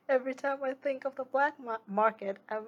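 A young woman, whose first language is not English, chatting casually and reading viewer comments with frequent giggles and rambling restarts. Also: sits high. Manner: giggly, rambling, self-correcting. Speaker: streamer.

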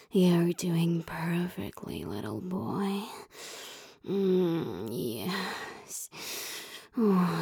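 Slow breathy whispering woman. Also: lowish voice, dry recording, studio quality. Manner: soft, coaxing, teasing, with sighs and moans. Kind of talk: whispered roleplay audio.

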